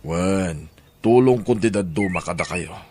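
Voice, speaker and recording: A man's voice: deep voice, a little slow, darkish tone. A man playing a concerned, helpful rural Filipino in an audio drama, with an Ilocano accent. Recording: faint background noise.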